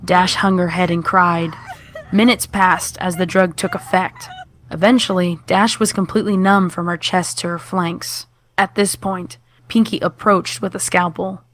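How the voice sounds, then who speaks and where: A woman's voice: on the low side, steady tone, unhurried, a little hiss or hum. A woman reading a scripted horror story as its narrator.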